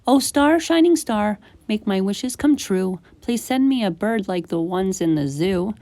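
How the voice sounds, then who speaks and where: A woman voicing an excitable, eager child in a storybook, exclaiming and pleading with wide-eyed earnestness. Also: mid-range voice, unhurried, no echo.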